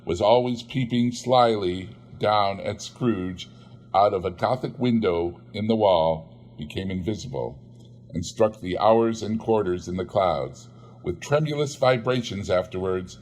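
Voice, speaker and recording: A man narrates a classic novel with a steady, measured storytelling delivery. His voice sits low, and he reads the long descriptive passages at an even pace. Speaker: audiobook reader.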